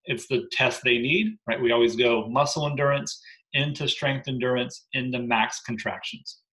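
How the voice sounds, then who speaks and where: Energetic bright-voiced male coach. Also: medium pitch, moderate pace, low-quality recording. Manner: energetic, emphatic, frequent "right?" asides. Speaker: gym programming coach. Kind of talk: recorded briefing to gym owners. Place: living room at home.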